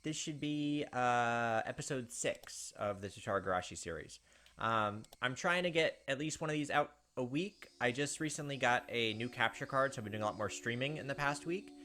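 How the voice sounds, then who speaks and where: A man's voice: medium pitch, normal speed, bright, forceful tone. A man hosting a let's play, chatting casually and reading the game's story text aloud.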